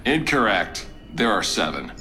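An actor playing a breezy, smug ship's computer captain in an audio drama, with a mock-polite announcer's delivery. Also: male voice, on the low side, very animated, unhurried, music in the background. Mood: polite, firm.

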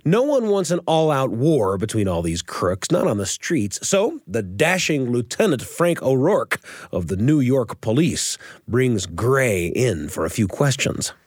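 Animated male storytelling radio host. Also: medium pitch, unhurried, dry recording, clean studio sound. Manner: chatty, explanatory. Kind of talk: animated storytelling commentary on an old radio show. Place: dry studio.

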